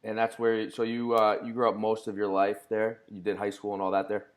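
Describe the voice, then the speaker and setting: A man with a lowish voice hosts a casual podcast interview. He talks earnestly and haltingly, often restarting his phrases. He comes from Connecticut. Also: monotone. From Connecticut, USA. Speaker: podcast host.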